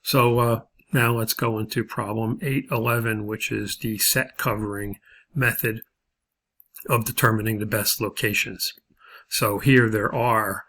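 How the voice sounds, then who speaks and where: A man's voice: on the low side, pushed and bright. A man giving an informal video lesson as an instructor, explaining methodically step by step in a hesitant way.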